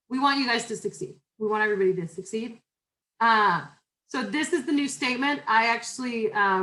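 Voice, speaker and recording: Loud, chatty woman teaching online. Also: mid-range voice, poor audio. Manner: chatty, informal, rambling with many fillers and restarts. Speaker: university instructor. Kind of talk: online class welcome and syllabus talk. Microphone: video call.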